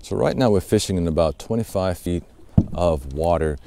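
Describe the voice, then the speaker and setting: A man hosting a fishing channel, explaining technique in a relaxed, casual way with many fillers while out on a boat on a lake. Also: sits low, moderate pace, vocal fry, slight background noise. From Southwest Ohio.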